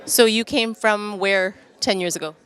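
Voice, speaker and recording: A woman's voice: medium pitch, steady tone, unhurried, a bit bright. A woman interviewing guests casually at a festival for television, with an effusive, encouraging manner.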